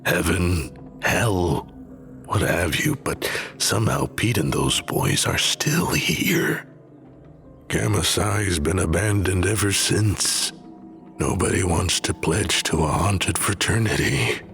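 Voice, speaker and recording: An actor playing a grieving, weary older man, gruff and somber, in a scripted audio drama. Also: sits low, big pitch swings, fairly bright tone, faint music behind, exaggerated voice. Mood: somber, haunted. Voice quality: gravelly. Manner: slow, somber, deliberate.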